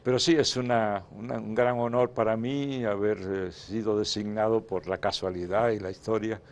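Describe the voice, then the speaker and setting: A man from the Dominican Republic, a guest in a political interview, speaking in measured phrases with frequent hesitations. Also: on the low side, fairly even intonation. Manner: measured, hesitant with many "eh" fillers. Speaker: interview guest, former legislator.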